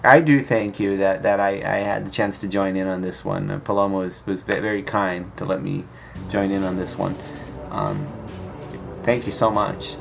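A man's voice: low voice, fairly even intonation, moderate pace, vocal fry, over music. A man co-hosting a radio interview, laid-back and casual. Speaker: radio co-host.

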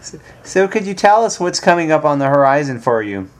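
A man's voice: fairly high pitch, sing-song, a little fast. A man co-hosting a radio interview, laid-back and casual. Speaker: radio co-host.

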